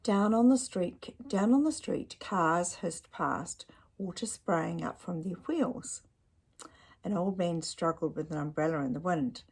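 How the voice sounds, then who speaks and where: A woman's voice: on the low side, gravelly, a little mellow, husky. A woman reading a children's picture book aloud expressively, with chatty asides and questions to young listeners.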